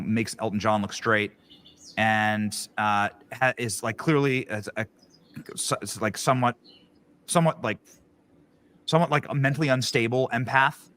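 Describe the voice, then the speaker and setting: A man's voice: medium pitch, bright, forceful tone. A man giving blunt, mocking political opinion commentary.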